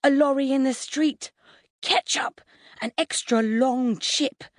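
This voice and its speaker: Woman doing a tiny 4yo boy in an audiobook, amazed and gleeful, high little voice. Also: slow, no echo, studio quality. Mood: joyful wonder. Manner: disbelieving joy. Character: gleeful, wide-eyed four-year-old little boy.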